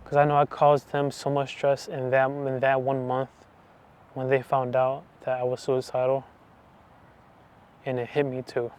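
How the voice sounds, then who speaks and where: A man in his twenties giving a personal faith testimony, talking softly and hesitantly with many restarts. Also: medium pitch, monotone, a little slow, smooth. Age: 20s. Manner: soft, hesitant, full of restarts and fillers. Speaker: channel host giving testimony.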